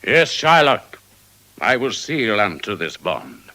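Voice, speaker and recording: An actor playing a confident, carefree Venetian merchant in an assured, declamatory Shakespearean drama style. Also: male voice, fairly low pitch, big pitch swings, takes it slowly, bright, forceful tone, heavily acted. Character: Antonio, confident, carefree male merchant of Venice. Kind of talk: classical Shakespearean audio drama acting.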